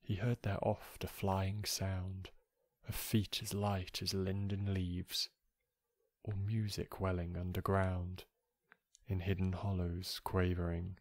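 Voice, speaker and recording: A man with a soft voice reading poetry aloud in a measured, gentle, calming way. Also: sits low, no room sound. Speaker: poetry reader. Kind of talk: slow, calm recited poetry reading for relaxation.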